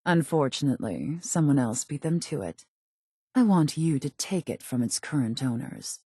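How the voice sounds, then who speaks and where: A calculating female raider boss for a video game. Her voice is low and mellow, recorded dry and close. She delivers her orders in a clipped, haughty, businesslike manner. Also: expressive, moderate pace, husky, dry recording, heavily acted. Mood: cool, businesslike. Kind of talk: scripted video game character dialogue.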